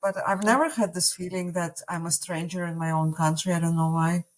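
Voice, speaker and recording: A woman's voice: on the low side, steady tone, a little fast. A woman from Russia, a native Russian speaker, speaking reflectively and hesitantly in a casual podcast chat. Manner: reflective, hesitant, many fillers.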